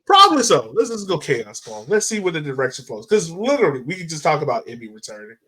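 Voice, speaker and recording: Male podcast host, higher register, informal and chatty, casual game breakdown. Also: wildly swooping pitch, fast talker, pushed and bright. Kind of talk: casual match analysis chat.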